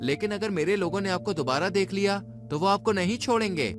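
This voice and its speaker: Man playing male alien, high voice, earnest, wary, warning, animated story, music behind. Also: brisk, close to an everyday voice. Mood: urgent. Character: wary, grateful underground alien, male, urgent warner.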